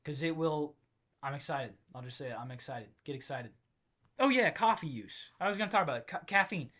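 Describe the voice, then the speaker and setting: A man with a slightly high, bright, forceful voice rambles through a comedy podcast, tripping over his own sentences as he gets worked up. His voice sounds tired and strained from overuse. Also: fairly quick. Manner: rambling, self-interrupting, excitable. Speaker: podcast host. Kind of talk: solo comedic rant podcast.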